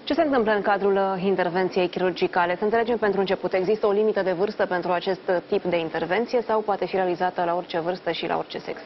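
A young woman presenting a morning news show from a TV studio, reading a clear scripted intro and asking interview questions. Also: medium pitch, hardly any pauses, lo-fi sound, slight background noise. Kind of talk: live TV interview.

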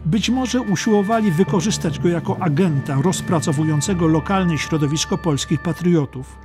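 A man narrating a historical audiobook from a script in a deliberate, dramatic storytelling way. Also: a little high, music in the background.

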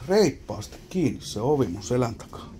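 A man at an ordinary mid pitch mutters and wonders aloud as he picks through an abandoned wooden cabin. His loose, casual commentary comes through a handheld camera mic. A faint hiss sits under the voice. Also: sing-song. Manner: muttering, wondering aloud while poking around. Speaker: abandoned-places explorer. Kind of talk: casual exploration vlog commentary.

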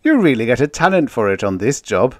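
A man's voice: medium pitch, very animated, fairly quick, no echo, clean studio sound, pitched above the performer's own voice, lightly acted. A man voicing a jovial, teasing spaceman with a slangy, wisecracking manner in a story reading. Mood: gleeful.

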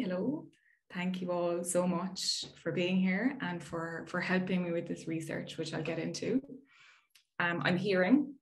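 Chatty Irish woman presenting on a call. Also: fairly low pitch. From Ireland. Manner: friendly, chatty, frequent "um" fillers. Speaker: researcher giving a talk. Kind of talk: casual presentation over video call. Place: indoor room at home. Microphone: video call.

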